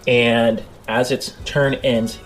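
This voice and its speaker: A man's voice: medium pitch, flat delivery, takes it slowly, faint music behind. A man improvising the narration of a tabletop role-playing game as game master. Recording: clear background noise.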